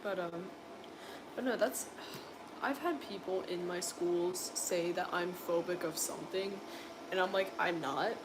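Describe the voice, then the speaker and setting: A young woman from coastal North Carolina with a deep voice, chatting casually on a livestream and answering viewers' comments with lots of filler words. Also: unhurried, noisy background. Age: young adult. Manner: rambling, filler-heavy, exasperated. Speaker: livestream host.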